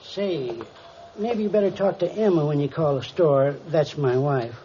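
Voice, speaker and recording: An actor playing a folksy, garrulous, eager henpecked shopkeeper in a 1950s radio drama. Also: male voice, a little high, a little slow, dark tone, lightly acted. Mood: hesitant, confiding. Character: folksy, chatty, henpecked small-town-style shopkeeper husband. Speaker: crime victim reporting stolen checks. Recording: noisy, dull vintage 1950s radio recording.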